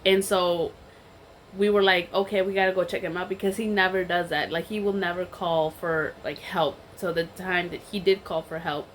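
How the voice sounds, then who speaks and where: A woman's voice: on the low side, steady tone, normal speed, slight background noise. A young woman with a cold and a stuffy voice, telling a rambling story in a casual chat while she eats. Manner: chatty and rambling, many fillers and restarts. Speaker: vlogger.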